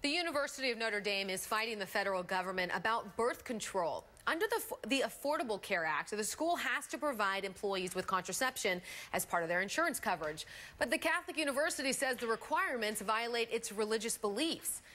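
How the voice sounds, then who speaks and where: A woman's voice: a little high, animated, fairly quick, pushed and bright. An American woman anchoring morning TV news, reading stories and chatting casually with a co-anchor.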